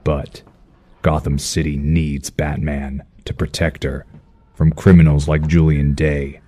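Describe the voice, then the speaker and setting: An actor playing a brooding, stern vigilante detective, narrating noir style in a raspy, terse, measured voice. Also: male voice, rumbling low, little pitch movement, dark tone, full character voice. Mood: grim, brooding. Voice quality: husky, raspy.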